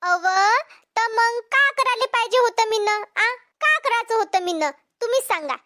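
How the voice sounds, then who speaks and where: A woman's voice: very high voice, lively intonation, moderate pace, pushed and bright, exaggerated voice. A woman voicing a flustered, scolding village farm wife in a cartoon comedy, in Vidarbha Marathi dialect with a childlike voice. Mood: complaining. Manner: dramatic, complaining, repeats herself.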